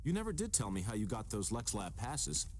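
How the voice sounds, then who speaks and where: An actor playing an earnest, level-headed superhero in a video game, speaking thoughtfully. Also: male voice, fairly low pitch, big pitch swings, brisk, darkish tone, slight background noise. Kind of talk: video game cutscene acting.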